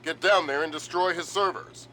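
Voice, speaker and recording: An actor playing a grave spy commander in a video game, briefing in a clipped, authoritative, wry manner. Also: male voice, fairly high pitch, normal speed, sharp tone, a little hiss or hum, rough recording. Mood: urgent, grave.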